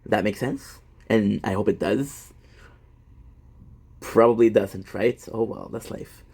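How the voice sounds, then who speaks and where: Man, 30s, content creator. Low-ish, husky; rambling and self-deprecating, stumbles and restarts often. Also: steady tone, slow pace, darkish tone. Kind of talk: casual rambling monologue.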